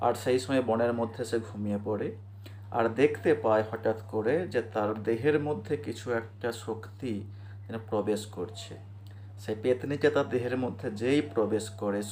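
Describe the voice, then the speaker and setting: A man's voice: medium pitch, normal speed, a little mellow. A man narrating a scripted cartoon ghost story in a storyteller's style. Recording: clear background noise and ambience.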